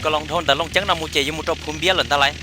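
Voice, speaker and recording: A man's voice: a little high, steady tone, rapid-fire, loud music behind the voice. A man performing casual, chatty comic dialogue for a cartoon.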